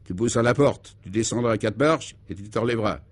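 A man's voice: lowish voice, fast, dry recording, close to an everyday voice. A man playing a bossy conspirator in a comic radio play, commanding, pompous and exacting as he gives absurd orders. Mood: commanding, secretive.

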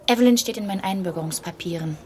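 A young woman's medium-pitched voice from an actress, playing a guarded refugee daughter in a radio play. She is restrained yet defensive, with clear ambient noise around her. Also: rapid-fire, mellow, lightly acted. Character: guarded young refugee daughter, newly English, quietly resisting. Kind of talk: dramatic radio play dialogue.

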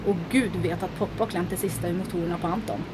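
A woman's voice: fairly low pitch, steady tone, background noise, lightly acted. An actress playing a confident, wry woman pilot who loves speed, in an audio drama. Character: daring woman pilot, speed-loving adventurer.

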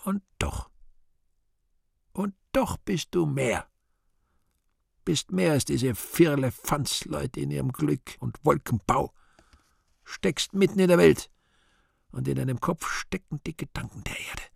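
An actor playing a bitter, self-loathing yet proud devil in a radio play, gravelly and husky, brooding theatrically with sudden swells and hushes. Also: male voice, on the high side, animated, slow, pauses often, no echo, studio quality, exaggerated voice. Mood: defiant, brooding. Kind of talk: German radio play acting.

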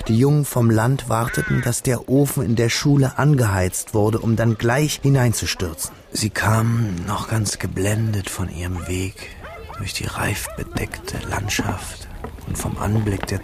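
An actor playing a reflective man who narrates his schoolboy memories in a radio drama, in a measured, intimate, literary manner. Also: lowish voice, fairly even intonation, crowd noise. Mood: pensive. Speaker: first-person narrator.

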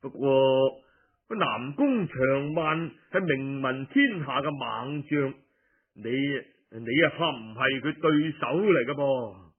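A man's voice: mid-range voice, slow pace, husky, pitched below the performer's own voice. A man with a Cantonese accent voicing an anxious, deliberating ruler of an ancient state in an audiobook, weighing his words. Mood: doubtful, deliberating. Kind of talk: audiobook storytelling. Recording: muffled phone-like radio recording.

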